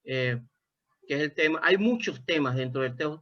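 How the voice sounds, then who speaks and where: A man's voice: a little high, animated, normal speed. A Venezuelan man interviewing a guest, speaking in a thoughtful, expansive way and often restarting his phrases. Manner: thoughtful, expansive, self-correcting. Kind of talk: reflective interview conversation. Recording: dull lo-fi sound.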